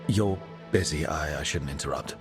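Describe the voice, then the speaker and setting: An actor playing a confident, kindly young assassin mage in a fantasy video game, with a wry, self-assured manner. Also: male voice, very deep voice, moderate pace. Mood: gentle, attentive. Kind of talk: video game dialogue and reflective monologue. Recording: game music behind the voice.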